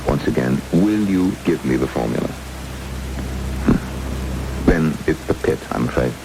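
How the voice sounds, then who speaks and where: A very low, suave villain in an old radio drama. He menaces his captives with deliberate, gloating politeness. The old broadcast sits under loud, rain-like hiss. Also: lively intonation, vocal fry, heavily acted. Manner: mock-courteous, deliberate, gloating. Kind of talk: vintage radio drama acting.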